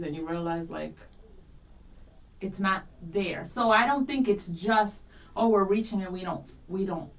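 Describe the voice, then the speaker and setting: A woman's voice: medium pitch, far from the mic, poor audio. A middle-aged woman co-hosting a morning radio show in a casual, candid and reflective conversational style.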